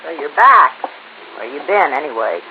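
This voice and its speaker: Shrill, swooping, defensive servant woman. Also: very animated, moderate pace. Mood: surly, defensive. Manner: sharp, indignant, emphatic. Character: defensive, shrill, guilty female household servant. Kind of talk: old-time radio drama acting. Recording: hissy, tinny old radio recording.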